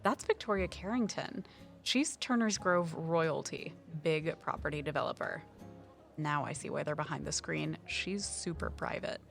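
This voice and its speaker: Wry, low-pitched woman conservationist. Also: moderate pace, gravelly, soft background music. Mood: gossipy. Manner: informative, wry. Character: brisk, knowledgeable woman conservation officer, friendly sleuthing sidekick. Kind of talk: naturalistic audio drama dialogue.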